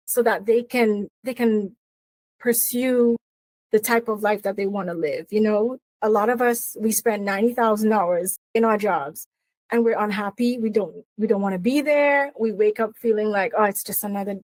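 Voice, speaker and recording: A woman's voice: mid-range voice, normal speed, darkish tone, no room sound. Trinidadian woman, earnest and dry-sounding. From Trinidad and Tobago. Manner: earnest, with frequent fillers and restarts. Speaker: guest, career coach. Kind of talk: interview conversation.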